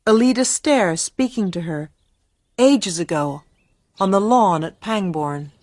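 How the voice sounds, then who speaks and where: A woman acting the grave storyteller of a radio ghost tale. Her mid-range voice is measured and literary, recorded very dry. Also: mid-range voice, expressive, darkish tone, no room sound, natural voice. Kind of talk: scripted radio drama narration.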